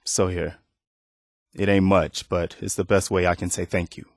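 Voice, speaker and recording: An actor playing a weary, earnest, plainspoken militia leader who protects refugees, in post-apocalyptic video game dialogue. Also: male voice, low voice, little pitch movement, normal speed, no room sound, clean studio sound. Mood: grateful.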